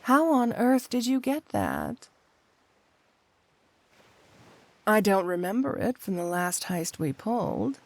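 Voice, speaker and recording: A woman's voice: mid-range voice, wildly swooping pitch, gravelly, a little mellow, no room sound, heavily acted. A woman playing a flirty, possessive supervillain cat burglar wife in an intimate ASMR roleplay, coyly drawing out her endearments. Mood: concerned, probing. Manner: coy, drawn-out, purring endearments with pointed hums.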